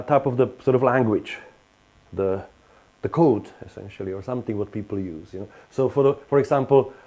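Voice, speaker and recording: A man's voice: mid-range voice, dark tone. A man with a Slovak accent gives a university lecture, talking in a hesitant way with frequent restarts and fillers. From Western Slovakia.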